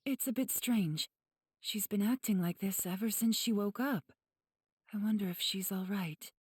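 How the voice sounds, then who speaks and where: A woman voicing a calm, gentle, refined demon healer in an anime game, soft and breathy, speaking in a measured, formal way. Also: medium pitch, no room sound, studio quality. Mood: solemn, calm.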